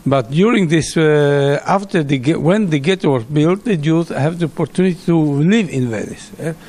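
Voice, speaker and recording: A man, an English speaker, answering interview questions in halting English with many pauses and fillers. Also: a little high, a little mellow, a little hiss or hum.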